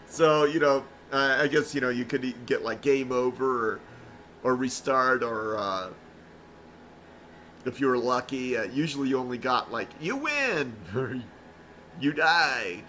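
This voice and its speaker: A man commenting casually as he streams an old video game, rambling with plenty of ums and restarts. His voice is bright and forceful. A faint hum of noise sits under it. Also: medium pitch. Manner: rambling, many fillers and restarts. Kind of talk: casual let's-play commentary.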